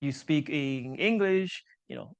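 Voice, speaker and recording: A man whose first language is Chinese delivers a seminar lecture in a somewhat high, engaged voice. He explains patiently, pauses to check in with the audience, and lightens things with small jokes. The room holds a live audience. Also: vocal fry, clean tone, lo-fi sound. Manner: explanatory, self-deprecating humor, frequent 'so-called' and 'right?'. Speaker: invited seminar speaker, engineering professor.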